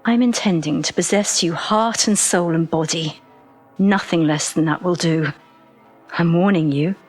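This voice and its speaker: A woman's voice: fairly low pitch, unhurried, soft background music. A woman playing a devoted, playful, possessive British lover in an audio drama, speaking tenderly. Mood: happy, devoted.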